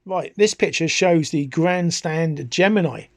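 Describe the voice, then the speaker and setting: A man's voice: on the high side, measured pace, dry recording. A middle-aged British man narrating an informal product history as a chatty hobbyist, with hesitant phrasing. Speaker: hobbyist video host.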